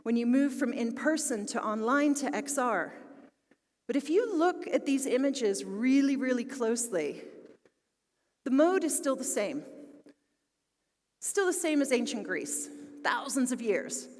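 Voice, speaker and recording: A woman's voice: on the high side, animated, unhurried, pauses often, a little mellow. A woman in her late forties from Philadelphia, with a Philadelphia accent, giving an enthusiastic conference talk full of fillers. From Philadelphia, United States. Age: 40s. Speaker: conference speaker. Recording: long hall reverb.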